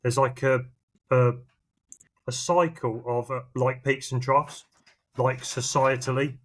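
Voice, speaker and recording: A man's voice: mid-range voice, level tone, slow pace. A man, talking informally and in a rambling way as a podcast co-host. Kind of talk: casual chat.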